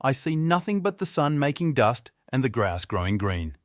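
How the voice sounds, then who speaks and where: A man's voice: medium pitch, big pitch swings, moderate pace, no echo, clean studio sound, pitched above the performer's own voice, close to an everyday voice. A man voicing a watchful, loyal sister in a fairy tale, calling out in formal, archaic phrases. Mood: watchful, anxious. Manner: formal archaic lookout calls. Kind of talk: storybook character voice in narrated fairy tale.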